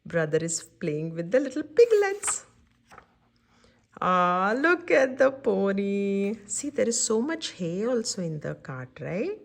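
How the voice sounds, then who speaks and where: A woman reads a children's picture book at a slow pace with lively, varied pitch. She mixes the reading with simple asides to her young listeners. She often checks that they are following along. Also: creaky. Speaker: children's story-time reader and host.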